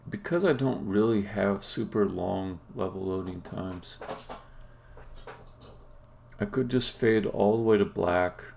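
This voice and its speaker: Low-pitched, gravelly man thinking aloud. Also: slow, pauses often, darkish tone, a little hiss or hum. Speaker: game developer live-streaming a coding session. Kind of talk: casual live-coding commentary, thinking aloud.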